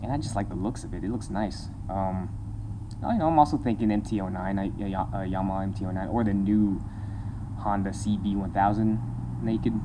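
A man's voice: lowish voice, steady tone, brisk, dark tone, slight background noise. A young man chatting casually in a motorcycle vlog, rambling and laughing often, recorded on a small camera in an open-air park shelter. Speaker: motovlogger. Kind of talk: casual talking-head vlog. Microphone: compact camera on a table nearby.

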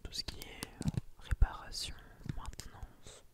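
A woman whispering breathily for an ASMR video in short, hushed phrases, close to the microphone, addressing her viewers. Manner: slow, hushed delivery with short broken phrases. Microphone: close microphone on a boom arm.

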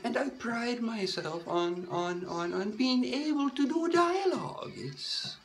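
A woman's voice: a little high, lively intonation, unhurried. A woman telling a comic story in a stagey put-on voice, with mock-formal phrasing, stammered repetitions and whispered asides. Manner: puts on a stagey persona accent.

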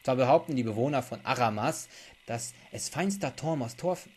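A man's voice: medium pitch, normal speed, a little mellow, breathy edge. A young adult man, an author reading aloud and chatting for his video blog. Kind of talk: book reading and casual vlog talk. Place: small indoor room.

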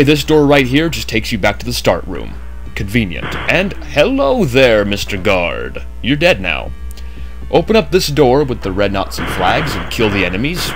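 A man narrating a game walkthrough in a chatty way with jokey asides, just woken up. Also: on the low side. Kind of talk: casual gameplay commentary.

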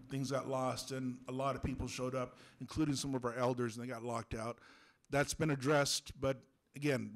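A middle-aged man, hoarse from a cold, giving halting remarks into a handheld mic at a school board meeting, clearing his throat now and then. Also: mid-range voice. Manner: halting, many fillers. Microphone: handheld microphone held close.

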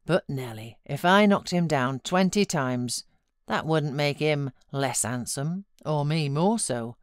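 A woman's voice: low voice, animated, slow, no room sound, clean studio sound. A British woman voicing a sulky, proud, self-pitying boy in a storybook reading. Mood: sullen, dejected.

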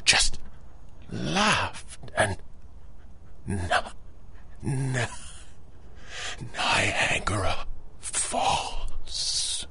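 An actor playing a dying shop owner, gasping weakly in halting, broken phrases in a radio drama. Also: male voice, on the low side, very animated, sharp tone, a little hiss or hum, exaggerated voice. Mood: weak, pained. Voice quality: raspy, breathy. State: weak, gasping. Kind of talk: 1930s-style radio drama acting.

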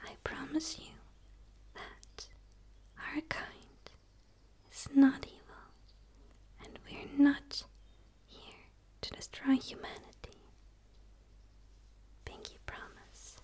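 A woman voicing a gentle, curious dark alien in a soft, tentative, pleading ASMR roleplay whisper. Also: sits high, little pitch movement, takes it slowly, pauses often. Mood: earnest, gentle. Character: gentle, curious female dark alien eager for human friendship.

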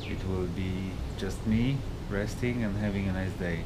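A young man chatting casually to camera as a laid-back travel vlogger, recorded outdoors. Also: unhurried. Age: young adult. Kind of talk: casual vlog chat to camera. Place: hotel balcony, outdoors. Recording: noisy outdoor audio with low rumble. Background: rumbling background noise.

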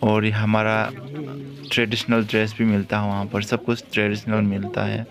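Low, monotone man vlogging. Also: moderate pace. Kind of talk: casual walk-through vlog commentary. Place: outdoor street market, walking. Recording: loud busy outdoor crowd noise.

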